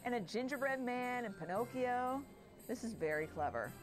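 A woman co-hosts a festive broadcast in a somewhat high, very animated voice. She gushes and exclaims as she commentates, with music clearly playing behind her. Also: normal speed. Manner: exclamatory, gushing. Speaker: parade broadcast co-host. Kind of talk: enthusiastic live event commentary.

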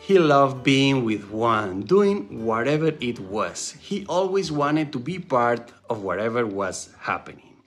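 A man's voice: mid-range voice, very animated, measured pace, soft background music. Wistful male vlog narrator. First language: Spanish. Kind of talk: voice-over narration.

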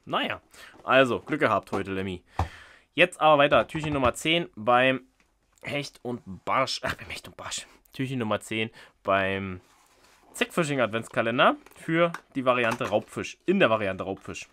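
A man's voice: mid-range voice, lively intonation, moderate pace, pushed and bright, a little hiss or hum. Chatty man unboxing fishing lures. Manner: chatty, jokey, thinking aloud with fillers. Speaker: fishing channel host. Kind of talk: casual unboxing commentary. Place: indoors at a table. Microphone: off-camera microphone, top-down camera.

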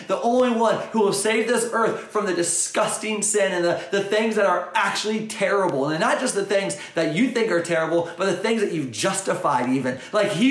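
A man in his thirties giving a short sermon straight to camera, earnest and animated, dropping to a soft hush when he prays. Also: high-pitched. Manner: earnest, animated, swings between loud urging and soft prayer. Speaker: pastor. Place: bright home room with windows, indoors. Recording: echoey room, faint music behind.

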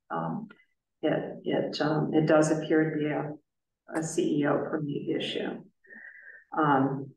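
A middle-aged woman chairs a town planning board in a meeting room, her low voice slow and deliberate. she weighs each point with lots of um's. the sound is roomy and echoey, picked up from well across the room. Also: recorded from a distance. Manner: measured and careful, many filler pauses.

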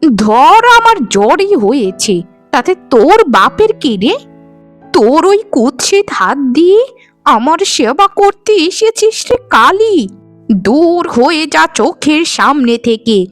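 A spiteful, self-pitying old mother-in-law in a cartoon melodrama played by a woman. Her high voice drags and wails through the words, with faint music behind it. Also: very animated, takes it slowly, exaggerated voice. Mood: spiteful, cursing.

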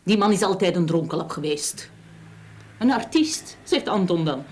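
A woman's voice: on the low side, expressive, normal speed, a little mellow, close to an everyday voice. An actress playing a prim, haughty married lady in a Dutch radio drama, speaking in a stiff, curt, disdainful way. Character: haughty, prim, level-headed married upper-class woman. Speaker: actor.

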